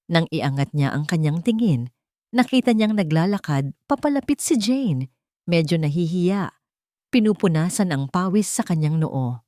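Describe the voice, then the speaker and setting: A woman narrating a scripted romantic audio drama in a steady, emotive storytelling manner. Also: low voice, no echo, clean studio sound.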